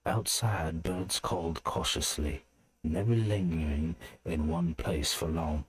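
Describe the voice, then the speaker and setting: Male narrator for a fantasy audio story, very low register, unhurried delivery.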